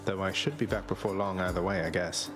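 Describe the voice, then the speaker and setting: An actor playing a suave young underworld prince in a game, polite, dry and understated. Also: male voice, low voice, steady tone, fairly quick, natural voice. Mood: earnest. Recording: loud music underneath.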